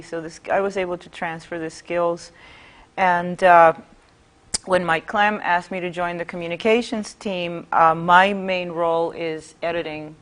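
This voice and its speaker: Measured woman from Puerto Rico. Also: lowish voice. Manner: measured, with frequent "uh" pauses. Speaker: union member guest. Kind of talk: studio interview.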